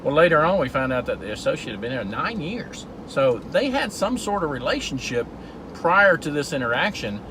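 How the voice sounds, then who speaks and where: A man's voice: on the high side, very animated, normal speed, background noise. A middle-aged man from Georgia, telling a story in an earnest casual way as he drives. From Georgia, USA. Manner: earnest, storytelling, with repeated restarts. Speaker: vlog host. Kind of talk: casual talk while driving. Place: in a car.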